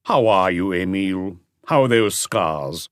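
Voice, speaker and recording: A man's voice: low voice, lively intonation, a little slow, clear, resonant tone, no room sound. Male narrator as a friendly doctor in a detective audiobook, sociable, bouncy intonation, dry sound. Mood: familiar. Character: male doctor, affable and familiar.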